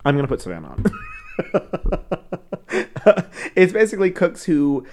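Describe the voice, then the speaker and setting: A man's voice: sits high, wildly swooping pitch, a little slow, darkish tone. A man co-hosting a casual podcast chat. He rambles, laughs a lot and stumbles through fillers. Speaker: podcast co-host.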